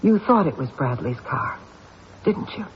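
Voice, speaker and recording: An actor playing a shrewd, stern police detective, accusing and deliberate, in a radio mystery drama. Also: male voice, fairly high pitch, expressive. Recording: dull, noisy old radio broadcast.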